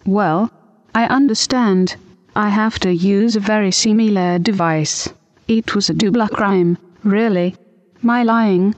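A synthetic computer voice playing a prim, easily offended French noblewoman with a formal, stilted delivery. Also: medium pitch. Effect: computer text-to-speech voice.

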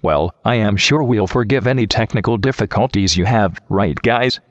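A man's voice: fairly low pitch, moderate pace, no echo, lo-fi sound. A man hosting a show in a scripted but conversational style. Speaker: show host.

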